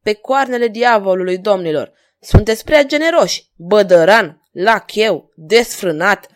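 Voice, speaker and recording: A woman's voice: on the high side, animated, pitched above the performer's own voice, lightly acted. A woman voicing a bold young swordsman in an audiobook, taunting and theatrical with a biting edge. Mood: mocking, triumphant. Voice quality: biting, harsh ringing voice. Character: bold mocking young swordsman, fearless homeless rogue.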